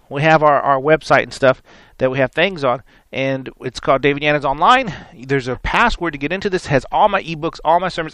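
Hoarse, raspy male radio preacher. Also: on the high side, fairly bright tone, no echo. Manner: rambling, repeats words, folksy preaching. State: hoarse from many interviews. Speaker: radio show host and minister. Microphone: studio microphone.

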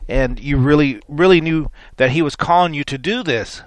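Hoarse, raspy male radio preacher. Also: on the high side, normal speed, no echo. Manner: rambling, repeats words, folksy preaching. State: hoarse from many interviews. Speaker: radio show host and minister. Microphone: studio microphone.

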